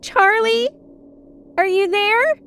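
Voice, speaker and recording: A woman's voice: very high voice, takes it slowly, creaky, a bit bright, a little hiss or hum, full character voice. An actress playing a frightened, desperate mother searching for her child in a horror audio drama, with a trembling delivery. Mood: desperate hope.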